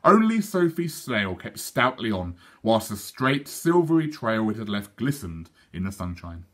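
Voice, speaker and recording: Animated man reading a storybook. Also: on the low side. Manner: measured, animated. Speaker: teacher reading a children's book aloud. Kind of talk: expressive storybook narration.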